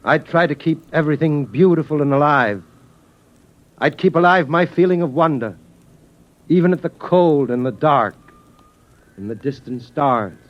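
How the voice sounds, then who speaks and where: A middle-aged actor playing a resolute, reflective father in an old-time radio drama, with an earnest, measured manner. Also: a little high, animated, close to an everyday voice. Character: resolute, reflective father, survivor of a doomed Earth. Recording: dull vintage radio recording.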